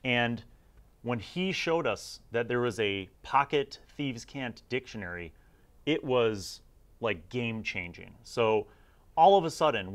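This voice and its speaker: A middle-aged man with a conversational, reflective manner, hosting a review series in casual commentary. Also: mid-range voice. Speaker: host of a gaming review series.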